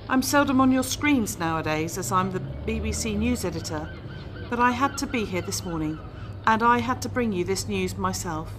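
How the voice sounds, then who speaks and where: A woman's voice: medium pitch, background noise, lightly acted. A woman playing a sombre, formal news editor reporting live in a radio drama. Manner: formal, solemn.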